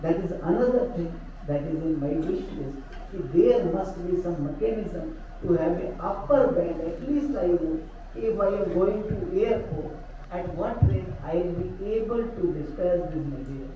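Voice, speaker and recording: A man with an Indian English accent speaking as a panelist, pausing often with fillers. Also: high voice, animated, mellow, slight background noise. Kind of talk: panel discussion. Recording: echoey hall, dull distant mic.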